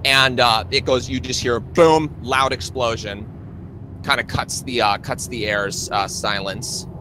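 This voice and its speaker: A man narrating a tabletop roleplaying game as game master, in a casual, halting, improvised way. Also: mid-range voice, fairly even intonation. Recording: clearly noisy live stream.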